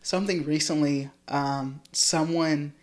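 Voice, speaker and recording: Deep-voiced American woman chatting. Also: fairly even intonation, a little slow, darkish tone. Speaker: podcast conversation partner. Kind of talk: casual reflective chat.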